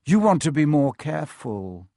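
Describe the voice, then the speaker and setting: A sinister male schoolmaster from a storybook, acted out by a man. He speaks smoothly and deliberately, with sardonic menace and sly rises and falls. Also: on the high side, big pitch swings, dark tone, no echo, clean studio sound. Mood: silky menace.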